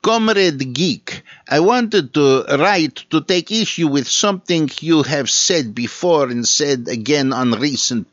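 A man's voice: fairly high pitch, a bit bright, dry recording, lo-fi sound, voice pushed up. A man putting on a heavy Russian accent to read a listener's letter as a comrade, dropping little words. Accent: Russian (put on). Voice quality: heavy mock Russian accent, clipped grammar. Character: gruff male Russian comrade letter-writer.

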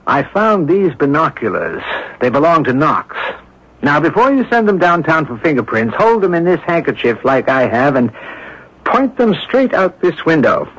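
An inquisitive, urbane sleuth from a radio mystery. His voice is fairly high and husky, with animated swings and a teasing polish, heard on a dull old radio recording. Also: moderate pace, heavily acted. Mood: brisk, intent. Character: urbane, inquisitive amateur sleuth, a genial man. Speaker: actor. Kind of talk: radio mystery drama acting.

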